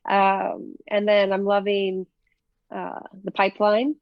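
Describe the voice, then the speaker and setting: A woman's voice: mid-range voice, monotone, unhurried, no echo, muffled recording. A woman from Minnesota with a Minnesota accent, chatting casually in an interview with many fillers and easy laughs. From Minnesota, USA. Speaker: professional disc golfer, guest.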